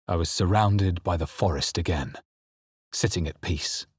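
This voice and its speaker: A man playing a wary, brooding fantasy adventurer in a video game, with a husky voice and a grave, measured delivery. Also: low voice, dry recording, studio quality, heavily acted. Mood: grave, reflective. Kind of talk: dramatic fantasy game narration and character lines.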